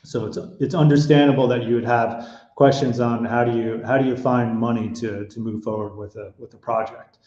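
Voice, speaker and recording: A man's voice: mid-range voice, steady tone, a little fast, darkish tone, reverberant, muffled recording. A middle-aged man with an American accent hosting an online webinar, talking with many fillers and restarts. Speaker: webinar host and panel moderator.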